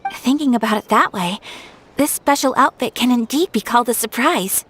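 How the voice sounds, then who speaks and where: A woman playing a gracious, composed young noblewoman swordfighter in a video game, speaking in a polite, formal, gentle way. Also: fairly high pitch, moderate pace, a little hiss or hum, natural voice. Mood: wistful. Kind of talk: video game cutscene dialogue.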